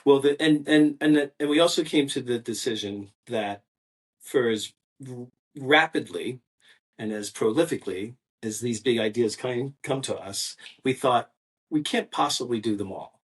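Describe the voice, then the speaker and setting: A man's voice: mid-range voice, moderate pace, recorded from a distance. Eager man, stumbling, off-mic. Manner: enthusiastic, stumbling restarts. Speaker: podcast co-host. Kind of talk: casual podcast chat.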